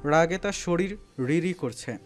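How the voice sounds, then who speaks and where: A man's voice: on the high side, animated, unhurried, soft background music. A man narrating a scripted romantic story in a dramatic storytelling style.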